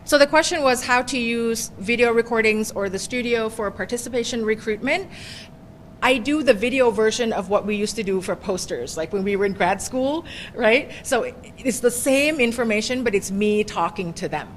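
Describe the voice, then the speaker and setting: A woman's voice: a little high, normal speed, slight background noise. A middle-aged woman professor giving a conversational workshop presentation, often checking in with "right?". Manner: chatty, often ends points with "right?". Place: workshop room.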